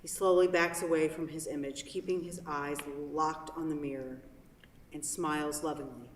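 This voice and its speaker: A woman's voice: deep voice, steady tone, normal speed, recorded from a distance, a little hiss or hum. Woman reading stage directions in an echoey hall. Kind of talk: scripted narration of stage directions.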